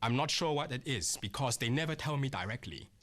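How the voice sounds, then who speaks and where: A man in his thirties speaks earnestly in a measured, questioning way, as if addressing the listener directly. His pitch sits in the middle and moves freely across a wide range. The delivery is scripted and deliberate. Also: expressive, fairly bright tone. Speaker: accountant job seeker. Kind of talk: scripted monologue to camera.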